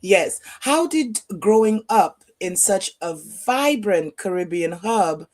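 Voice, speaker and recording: A woman hosting an interview over a video call, asking questions slowly with many ums and uhs. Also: mid-range voice, lively intonation, darkish tone. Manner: slow, halting with many fillers. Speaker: host.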